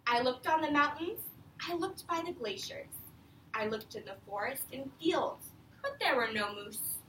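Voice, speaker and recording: A woman schoolteacher reads a storybook aloud in a high, bright voice with very animated intonation. She explains in simple phrases and keeps asking questions. The sound is distant, with a little background noise. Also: moderate pace. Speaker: elementary school teacher. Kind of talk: classroom read-aloud with questions to children. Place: echoey classroom. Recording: echoey room, distant mic, faint noise.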